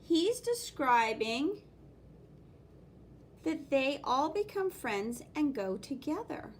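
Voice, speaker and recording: High-voiced woman reading animatedly to children. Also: takes it slowly, a little hiss or hum. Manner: expressive, exclaiming, with spoken sound effects. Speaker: art teacher reading a picture book aloud. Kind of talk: animated children's read-aloud with commentary.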